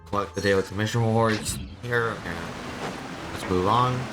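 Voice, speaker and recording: A game streamer giving casual, low-key commentary, thinking aloud. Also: fairly even intonation, normal speed. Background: game music.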